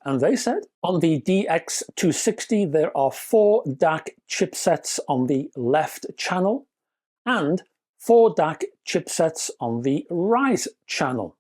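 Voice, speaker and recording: A man's voice: a little high, darkish tone. A British man narrating a scripted product review with chatty, wry asides and emphatic phrasing.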